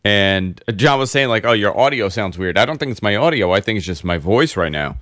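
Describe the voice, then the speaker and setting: Hoarse, congested man hosting a chatty show. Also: on the low side, brisk, dry recording, studio quality. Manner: rambling, animated storyteller with many fillers. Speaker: host. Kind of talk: casual podcast chat.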